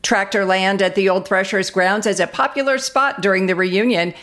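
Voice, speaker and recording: A woman's voice: mid-range voice, steady tone, normal speed, fairly bright tone. A woman with an American accent reading scripted local radio news as an announcer.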